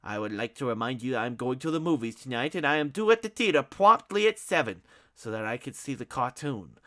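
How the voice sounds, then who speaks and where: A man's voice: mid-range voice, lively intonation, brisk, fairly bright tone, voice pushed up, lightly acted. A man voicing a pompous, fussy grown man who speaks in haughty, overly formal sentences.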